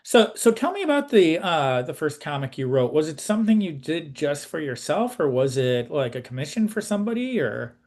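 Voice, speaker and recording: Male interviewer, casual delivery, fairly high voice with very animated intonation. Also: moderate pace, few pauses.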